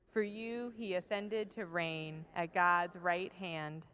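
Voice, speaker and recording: Woman liturgist, lower voice, very slow and clear, deliberate liturgy in a reverberant church. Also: fairly even intonation, sharp tone. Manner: slow, measured, deliberate reading. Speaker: worship leader. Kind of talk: liturgical reading. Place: church sanctuary. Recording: long church hall reverb.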